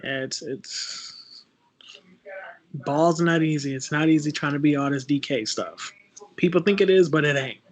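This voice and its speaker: A man co-hosting a casual sports chat, relaxed and laughing, giving short replies. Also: a little high, a little slow, poor audio. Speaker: co-host.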